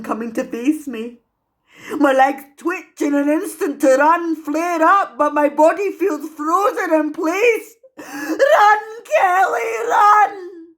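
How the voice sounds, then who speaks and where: A woman with a Scottish accent performing a dramatic, emotive solo stage monologue as a traumatised young woman raised in an orphanage, pausing deliberately. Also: high-pitched.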